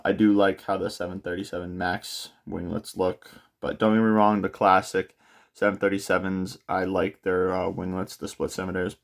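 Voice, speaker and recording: Low, gravelly young man with a cold. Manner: relaxed, many filler pauses. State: nasal from a cold. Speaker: aviation model channel host. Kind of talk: casual product unboxing walkthrough.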